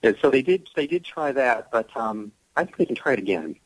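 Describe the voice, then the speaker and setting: A man's voice: medium pitch, sing-song, brisk, husky, dry recording, low-quality recording. Dry-sounding man, earnest interview guest. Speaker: guest actor. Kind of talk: conversational radio interview.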